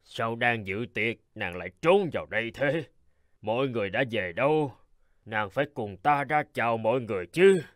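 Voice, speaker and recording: An actor playing a burly, boastful, hot-tempered royal guard and bridegroom in a cartoon folk tale, emphatic and demanding. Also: male voice, medium pitch, lively intonation, normal speed, a bit bright, no room sound, clean studio sound, close to an everyday voice. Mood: puzzled. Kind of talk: animated folk-tale dialogue.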